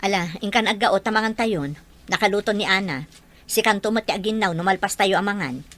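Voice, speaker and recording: A woman's voice: medium pitch, fairly quick, lightly acted. A woman playing a stern, chiding middle-aged mother in an Ilocano radio drama, with an Ilocano accent. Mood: brisk, admonishing. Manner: chiding, forceful.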